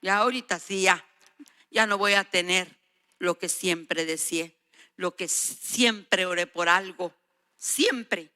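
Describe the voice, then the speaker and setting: A woman's voice: on the low side, takes it slowly, pushed and bright. A woman in her sixties or older preaching a sermon, emphatic and exhorting, repeating phrases for weight. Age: 60s or older. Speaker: guest preacher.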